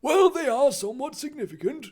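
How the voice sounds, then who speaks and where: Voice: high-pitched, animated, brisk, a little mellow, pitched above the performer's own voice. A performer voicing a kindly old wizard reverend in a story, explaining things earnestly and tentatively. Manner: tentative, well-meaning explaining.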